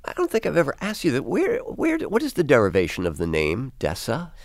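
A man hosting a music interview on the radio, speaking conversationally with halting, repeated phrasing. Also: mid-range voice, wildly swooping pitch, gravelly, no echo, clean studio sound. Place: radio studio.

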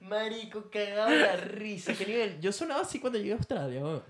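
A man's voice: high voice, lively intonation, fairly quick, a bit bright. Venezuelan man swapping slangy stories. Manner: slangy, jokey storyteller who acts out dialogue. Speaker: podcast co-host. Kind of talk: casual anecdote-telling chat.

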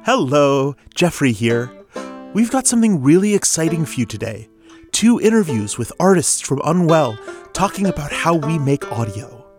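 A man's voice: fairly high pitch, very animated, normal speed. A man hosting a podcast, reading a scripted introduction. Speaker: podcast host, composer. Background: plucked guitar music.

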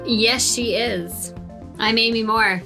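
A regular player on a tabletop podcast, she drops dry, teasing remarks into the chatter. Her voice is bright and clear, with light music faintly behind. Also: a little high, fairly even intonation, takes it slowly. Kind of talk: casual banter.

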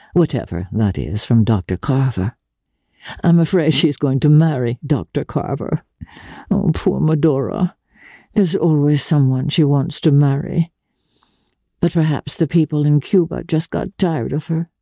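A woman voicing a worldly, melancholy young countess, deliberate and gently ironic, in a dramatic reading. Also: low voice, breathy edge, dry recording, clean studio sound, full character voice.

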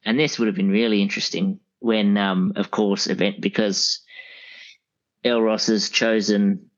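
A man speaking as an expert guest in a casual podcast discussion, explaining things in a rambling, halting way. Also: lowish voice, flat delivery. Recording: dull, lo-fi audio.

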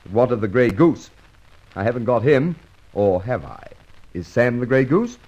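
An actor playing a gruff, sceptical, businesslike police inspector in an old-time radio drama, blunt and demanding. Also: male voice, mid-range voice, animated, moderate pace, a little mellow, lightly acted. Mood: probing. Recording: dull vintage radio recording.

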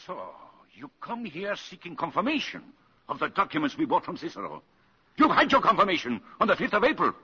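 Casting: irascible senior diplomat, raspy and forceful, swinging in pitch, muffled vintage radio sound. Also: male voice, very animated, a little fast, full character voice. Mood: indignant. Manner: blustering, indignant, emphatic. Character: irascible, imperious senior male German ambassador. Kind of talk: 1950s radio drama acting. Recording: muffled, reverberant 1950s radio broadcast.

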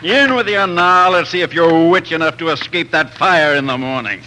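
An actor playing a cruel, taunting jailer, sneering and mocking, in a 1940s radio drama. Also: male voice, fairly high pitch, normal speed, bright, forceful tone. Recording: noisy old 1940s radio recording.

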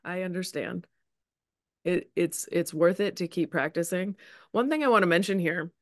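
A woman's voice: lowish voice, moderate pace, no room sound, studio quality. A woman in her forties, a podcast co-host and Chinese medicine practitioner, explaining ideas in a patient, reassuring, conversational way.

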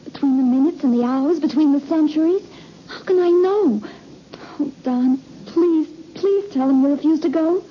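An actress playing an anxious, imploring wife with theatrical emphasis in an old radio suspense play. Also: female voice, high voice, normal speed, lightly acted. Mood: anguished, pleading. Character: anxious, pleading young wife of a spaceman. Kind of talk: melodramatic old-time radio acting. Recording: muffled, noisy old radio recording.

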